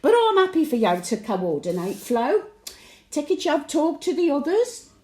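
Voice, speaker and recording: A woman's voice: sits high, sing-song, moderate pace, darkish tone, reverberant. A woman playing a cheeky, wistful elderly market-trader widow with a Birmingham accent, telling her tales in a chatty, colloquial audio monologue. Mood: bantering. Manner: colloquial storytelling with dry chuckling asides. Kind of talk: audio monologue, chatty diary addressed to late husband.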